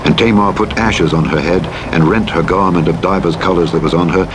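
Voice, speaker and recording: A man reading scripture aloud in a measured, solemn way, with rain and engine noise behind. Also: sits low. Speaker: scripture reader.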